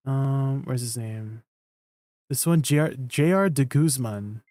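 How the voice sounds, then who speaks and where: Gravelly Canadian man, casual streamer. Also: mid-range voice, no echo. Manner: relaxed, rambling, trailing off at line ends. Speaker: game streamer.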